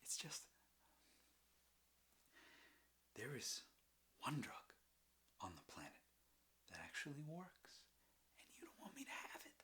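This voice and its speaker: A man performing a halting, rambling confessional monologue as an anguished, troubled man, with sighs and bitter emphasis. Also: mid-range voice, wildly swooping pitch, sharp tone, in a whisper. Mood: pleading, defensive. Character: anguished, mentally ill man confessing and defending his drinking. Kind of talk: dramatic stage monologue, confessional.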